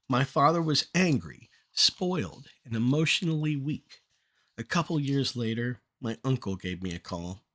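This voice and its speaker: A middle-aged man performing rhymed spoken-word poetry in a measured, deliberate delivery with short phrases. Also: medium pitch, a bit bright.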